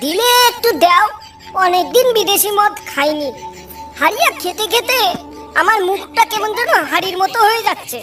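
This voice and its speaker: Woman voicing a very high-pitched cartoon village boatman. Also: expressive, normal speed. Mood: eager, greedy. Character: male village boatman, fond of drink, wary yet greedy. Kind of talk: Bengali cartoon dialogue. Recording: distant voice under loud music.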